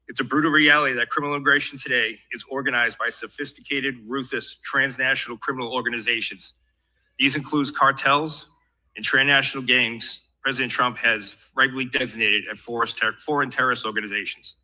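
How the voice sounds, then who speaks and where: A man with an American accent delivers a prepared official statement. His voice is very bright and forceful, and his delivery is formal and measured. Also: mid-range voice, low-quality recording. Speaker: government law enforcement official.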